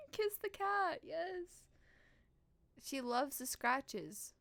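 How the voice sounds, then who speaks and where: A woman streamer, playful and expressive, reading a visual novel aloud and chatting on a live stream. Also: high voice, very slow. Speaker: game streamer. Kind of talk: live stream chat and read-aloud narration.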